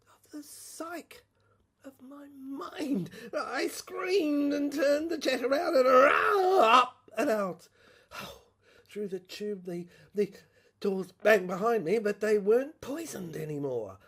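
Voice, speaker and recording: A woman playing a tormented, haunted dreamer in an audio drama monologue, halting and repetitive, with a husky voice that slips into whispers. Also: mid-range voice. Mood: anguished. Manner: halting, repetitive, intense delivery. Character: tormented, haunted dreamer recounting a surreal inner ordeal.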